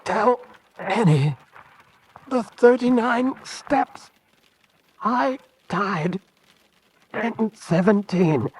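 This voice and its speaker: An actor playing a desperate, hunted American spy in a radio drama, pleading with urgent intensity. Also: male voice, high-pitched, animated, very slow, pauses often, darkish tone, breathy edge, exaggerated voice. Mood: dying.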